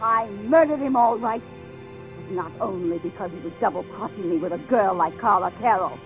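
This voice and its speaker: An American actress playing a bitter, haughty fading stage star with theatrical indignation in a 1950s radio drama. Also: female voice, medium pitch, expressive, normal speed, lightly acted. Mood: bitter, defiant. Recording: muffled vintage radio broadcast with music.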